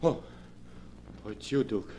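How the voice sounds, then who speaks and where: A man's voice: takes it slowly, over music, lightly acted. An actor playing a timid, nervous gangster's henchman in an old-time radio drama, with a pleading manner. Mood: jittery.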